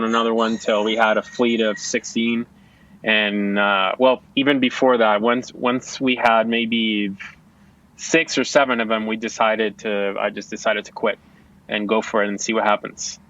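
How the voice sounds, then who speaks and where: A man's voice: medium pitch, a bit bright, dull audio. A man from Puerto Rico, a podcast guest, talking casually with lots of fillers and restarts. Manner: chatty, rambling, many fillers. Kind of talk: casual conversation. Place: outdoors-ish home with background noise.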